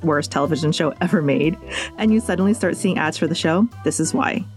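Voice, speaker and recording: A woman's voice: sits low, darkish tone. Wry woman hosting a privacy podcast. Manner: wry, jokey delivery of tips. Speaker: podcast host. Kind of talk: scripted podcast narration. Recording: faint music bed behind.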